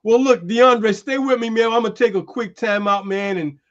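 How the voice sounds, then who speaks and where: A man hosting a streamed show, chatting loosely and calling people "man" as he pitches merchandise. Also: normal speed, lo-fi sound. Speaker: host. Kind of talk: casual chat and merchandise pitch. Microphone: streaming setup.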